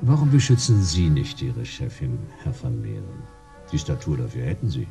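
Very deep, gravelly laconic detective. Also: male voice, normal speed, faint music behind, full character voice. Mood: dry, wry. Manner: laconic, dry, deadpan. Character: laconic male detective narrating his own case. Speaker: narrator and lead character. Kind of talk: noir radio drama narration and dialogue. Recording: engine noise behind the voice. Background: vehicle engine rumble.

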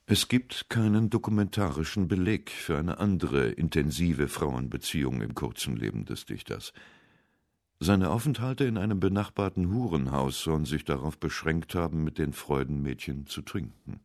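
Male narrator for scripted radio essay, very low register, dry sound. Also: lively intonation, normal speed, a little mellow, no room sound.

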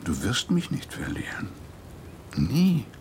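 An actor playing an exhausted, obsessed police detective, intimate and brooding, in a naturalistic radio drama. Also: male voice, mid-range voice, wildly swooping pitch, slow pace, a little mellow. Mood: subdued, earnest. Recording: clear rain and ambience noise.

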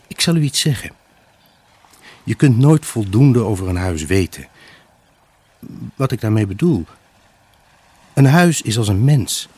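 A low-voiced, wistful man left by his wife, speaks in a radio play. His delivery is pensive and evasive, with animated swings in pitch and halting phrases. The sound is close and dry. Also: husky, no room sound. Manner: halting, pensive, addressing an unseen buyer. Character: wistful, evasive abandoned husband selling his house. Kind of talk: radio drama monologue with acted dialogue.

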